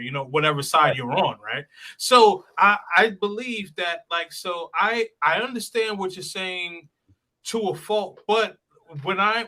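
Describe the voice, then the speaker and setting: A man's voice: sits high, sharp tone, low-quality recording. A man in casual chat who stammers and restarts often as he builds his point.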